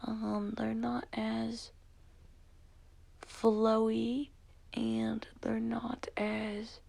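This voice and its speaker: A woman talks through her thoughts on music in a hushed whisper, pausing often with small fillers. Her voice sits a little high and catches on a gravelly fry. She speaks very slowly and gently, as if close to the mic. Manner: soft whispering, hesitant with fillers. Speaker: vlogger reviewing songs. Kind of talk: whispered ASMR-style music commentary.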